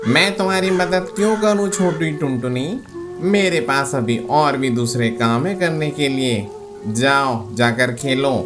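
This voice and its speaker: Guy doing a grumpy cartoon crow magician, slow showy talk, music behind him. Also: mid-range voice, natural voice. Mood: dismissive. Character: gruff, reluctant male crow magician neighbour. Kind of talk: Hindi children's cartoon voice acting.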